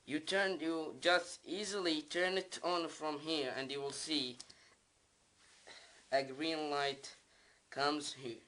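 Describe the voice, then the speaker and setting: A man from the Middle East, a native Arabic speaker with an Arabic accent, giving a casual unboxing demonstration in simple, halting, non-native phrasing. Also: a little high, steady tone, pushed and bright. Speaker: video creator, product reviewer. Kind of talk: casual unboxing vlog, talking while demonstrating.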